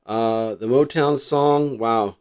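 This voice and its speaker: A man's voice: medium pitch, takes it slowly, darkish tone, clean tone. Rambling middle-aged American man, chatting casually. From New Jersey, United States. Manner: rambling, reflective, thinking aloud. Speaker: host showing a cassette collection. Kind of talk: casual unscripted vlog chat.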